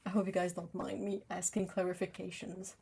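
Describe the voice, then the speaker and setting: Breathy French Canadian woman chatting live. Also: lowish voice, flat delivery, a little fast, mellow. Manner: chatty, reads chat aloud, laughs often, self-interrupting. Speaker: livestream host painting and chatting. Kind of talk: casual livestream chat while painting.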